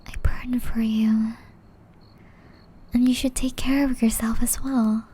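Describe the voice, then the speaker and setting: A woman voicing a gentle, caring young witch in love, whispering softly and tenderly in an ASMR romance roleplay. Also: on the high side, flat delivery. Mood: tender, soothing. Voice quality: soft, breathy whisper. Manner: slow, tender, intimate delivery.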